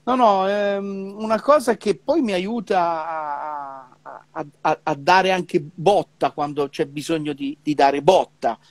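A man's voice: a little high, slow pace, no echo. A middle-aged man chatting casually as a trumpeter on a video call, often repeating syllables as he searches for words. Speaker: professional trumpeter. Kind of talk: casual conversation about music practice. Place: indoor room, remote video call. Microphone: wired earphone mic on a video call.

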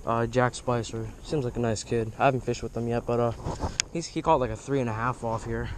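A man's voice: medium pitch, steady tone, a little fast. A teenage boy talking casually while fishing from a kayak, rambling with lots of fillers, recorded on a head-mounted camera outdoors. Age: teens. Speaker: fishing vlogger. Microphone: head-mounted action camera. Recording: outdoor noise on an action cam.

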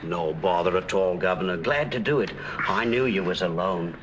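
An actor playing a kindly, chummy English ex-boxer cab driver in a 1940s film. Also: male voice, sits low, moderate pace, lo-fi sound, background noise, natural voice. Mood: gentle, reassuring. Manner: chummy, soothing, colloquial. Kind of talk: 1940s film noir acting. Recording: old 1940s film soundtrack with music.

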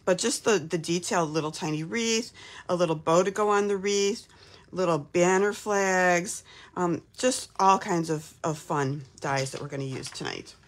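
A woman from Texas in her fifties, slightly hoarse from a cold, chatting casually while hosting a live craft demo from her home craft room. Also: fairly low pitch, normal speed. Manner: chatty, upbeat, lots of "um"s.

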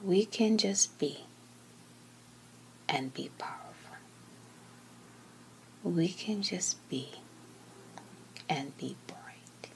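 A woman leads a guided meditation in a calm, gentle, breathy voice that often sinks to a near-whisper, speaking in short phrases with long pauses. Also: lowish voice, slight background noise, poor audio. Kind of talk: slow guided meditation, soothing scripted narration.

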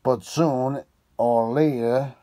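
A man voicing a male detective who drops French words into halting speech. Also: medium pitch, fairly even intonation, mellow, pitched above the performer's own voice, lightly acted. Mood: earnest. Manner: halting, sprinkled with French fillers.

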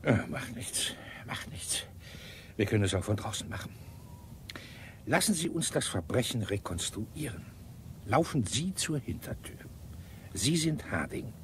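An actor playing a grumpy, gloomy middle-aged police inspector in a radio crime drama, grumbling and blustering. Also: male voice, mid-range voice, big pitch swings, unhurried, long pauses, a bit bright, slight background noise. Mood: brusque, stubborn. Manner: grumbling, blustering, self-deprecating.

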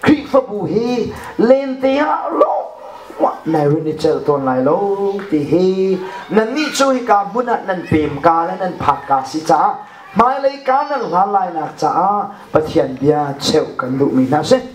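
A middle-aged man preaching a sermon into a handheld mic in a church hall, animated and often laughing. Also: high voice, measured pace. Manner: animated, gesturing with one hand, often laughing. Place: church hall with a projection screen. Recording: echoey hall, recorded from far off.

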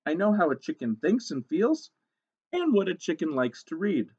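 A man voicing an eager, determined hen who writes storybooks, with an excitable manner. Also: sits high, moderate pace, slightly rough, lo-fi sound, voice pushed up, heavily acted. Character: eager, determined hen author with hurt feelings.

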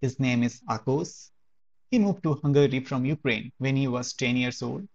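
A man's voice: mid-range voice, normal speed, vocal fry, darkish tone, highs cut off. A man hosting a podcast, introducing a guest and asking short questions with frequent hums. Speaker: podcast host. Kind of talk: interview questions.

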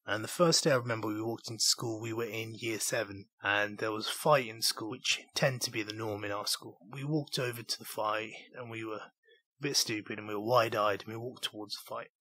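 A man's voice: fairly low pitch, steady tone, brisk, pushed and bright, husky, poor audio. A young British man from southeast England, hosting his solo podcast in an earnest, reflective storytelling manner. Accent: British English. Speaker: podcast host.

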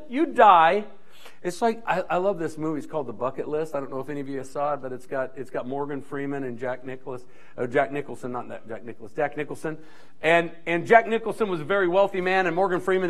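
High-voiced, animated male pastor preaching. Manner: animated, strolling the stage and gesturing; emphatic, repeating key phrases. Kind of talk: sermon. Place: church stage, indoors. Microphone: handheld mic.

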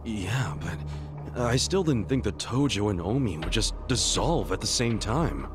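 A man's voice: fairly low pitch, animated, a little slow, over music. A man playing an earnest, baffled yakuza underling in a video game, plainspoken and questioning. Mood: puzzled. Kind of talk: video game cutscene acting.

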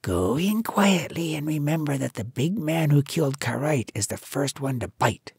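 Narrator doing a plotting lady cobra in a storybook, bossy, secretive, husky n dry. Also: medium pitch, expressive, moderate pace, a little mellow, no echo, heavily acted. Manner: conspiratorial, commanding. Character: scheming, commanding female cobra, wife and would-be queen.

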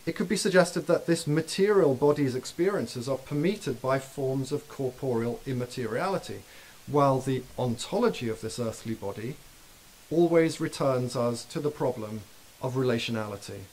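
A man's voice: medium pitch, lively intonation, a little mellow, a little hiss or hum, rough recording. Man reading a scholarly keynote. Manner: measured, dense scholarly phrasing. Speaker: keynote speaker. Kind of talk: formal academic lecture read aloud. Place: dim lecture hall with projection screen.

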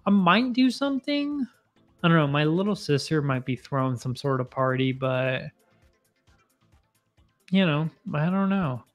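A man in his mid-twenties hosting a casual live stream, rambling and self-deprecating as he chats with viewers from a home bar on a boom mic. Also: expressive, measured pace, long pauses, soft background music. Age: 20s. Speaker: livestream host. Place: home bar with bottle shelves, indoors. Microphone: boom mic in front of face.

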